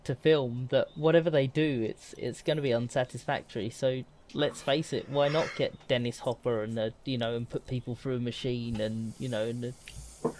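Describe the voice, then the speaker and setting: A man's voice: medium pitch, fairly even intonation, moderate pace, darkish tone. Male podcast panelist, middle register, stop-start conversational delivery, light background noise. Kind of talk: casual panel chat about films.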